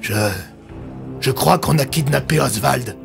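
Guy acting a gruff-edged police chief, creaky voice, serious and testy, music underneath. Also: mid-range voice, a little slow. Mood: stern, concerned. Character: serious, easily irritated male police commissioner.

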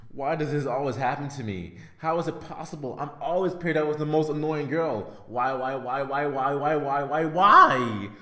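A man's voice: a little high, moderate pace, few pauses, sharp tone, reverberant. A man voicing an exasperated, scheming schoolboy with a sarcastic, crude inner monologue in an anime parody dub. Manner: sarcastic, self-talking, crude.

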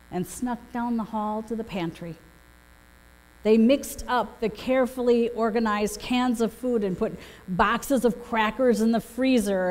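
A middle-aged woman preaches a sermon from prepared notes, telling stories as a pastor does. Her voice sits a little high. Long reverb from the church hall trails her words at the pulpit microphone. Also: darkish tone. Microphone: gooseneck pulpit microphone.

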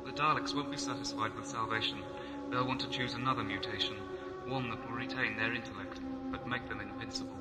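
A man's voice: mid-range voice, fairly even intonation, brisk, natural voice. A man playing a grave, ruthless scientist in a science-fiction audio drama, speaking earnestly and emphatically. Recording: amateur audio drama, music underneath.

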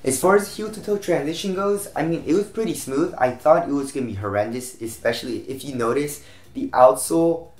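A young American man with a slightly raspy voice, getting over a cold, giving a casual review to camera as a channel host. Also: on the high side, a little fast. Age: young adult. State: recovering from a cold. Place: small home room, indoors, at a desk. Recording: roomy echo.

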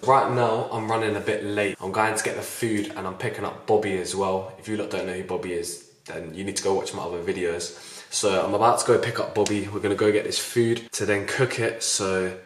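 A man's voice: fairly low pitch, fairly even intonation, brisk, few pauses, husky. A young man, chatting casually to camera about meal prep at home. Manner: chatty, slangy and direct to the viewer. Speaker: fitness vlogger. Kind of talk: casual vlog talk to camera. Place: living room and kitchen at home. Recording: background music under the voice.

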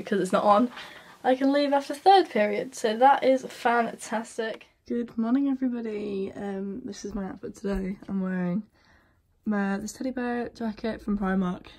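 A teenage woman with a Yorkshire accent, chatting casually to a phone at arm's length in her bedroom about her day. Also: mid-range voice. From England. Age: teens. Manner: chatty, soft-spoken, filler-heavy. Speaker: vlogger. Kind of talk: casual vlog narration. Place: bedroom at home, indoors. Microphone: phone held at arm's length.

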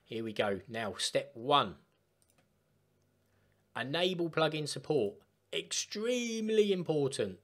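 A man chatting casually through a step-by-step software tutorial for gamers. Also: fairly high pitch, sing-song, slow pace, long pauses. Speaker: gaming tutorial host. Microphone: desktop microphone, voice-over of screen capture.

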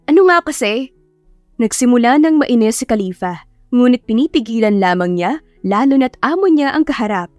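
A woman's voice: on the high side, lively intonation, brisk, no room sound. A woman with a Filipino accent reading a dramatized story as an audiobook narrator. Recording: dry voice over faint music. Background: soft background music.

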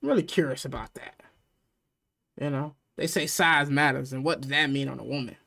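A man's voice: medium pitch, brisk, gravelly, sharp tone. A man in his thirties doing casual reaction commentary, loose and slangy with laughing, swearing asides, on a headset mic in a small room. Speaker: reaction streamer.

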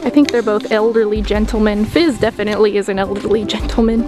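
A woman's voice: medium pitch, fairly even intonation, quick pace, over music. A young woman casually narrating a walking tour of a horse barn, upbeat and chatty as she points things out. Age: young adult. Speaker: vlogger giving a barn tour. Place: horse barn and snowy paddocks outdoors.

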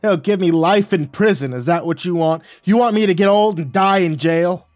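Man doing a desperate rough drunk brother begging, low folksy voice. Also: moderate pace, darkish tone, no echo, clean studio sound, faint music behind.

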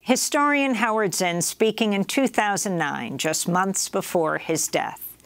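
A woman news host reads a broadcast script in a measured, formal way. Her voice sits in a middle range, and the sound is dry and close. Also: medium pitch, dry recording.

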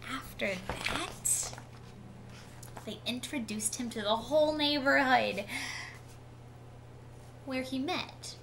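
A woman in her thirties reading a picture book aloud to children in an expressive storytelling manner. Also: on the high side. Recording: clearly noisy home webcam recording.

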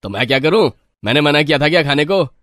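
A man voicing a haughty rich old man in a cartoon moral story, gruff and scornful. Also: fairly high pitch, animated, fast, fairly bright tone, dry recording, clean studio sound. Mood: scornful, angry. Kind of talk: animated Hindi moral story acting.

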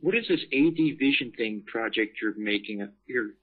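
A man's voice: mid-range voice, expressive, normal speed, slightly rough. Young man on a narrow, tinny call. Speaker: caller asking questions. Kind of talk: casual chat.

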